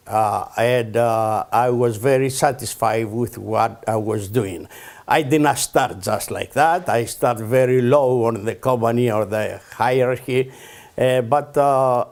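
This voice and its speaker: Older Greek immigrant man, slow unhurried delivery, hesitant and halting, Greek accent, interview guest. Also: mid-range voice, a little mellow. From Greece. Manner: halting, many pauses and fillers, broken English. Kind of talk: oral history interview.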